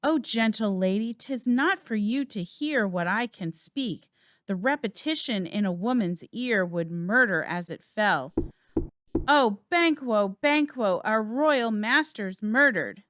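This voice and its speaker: A woman's voice: a little high, lively intonation, takes it slowly, fairly bright tone, no room sound, studio quality. Woman acting a horrified nobleman, wildly swooping delivery. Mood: stricken. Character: horrified Scottish nobleman Macduff raising the alarm. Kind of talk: amateur dramatic reading of Shakespeare.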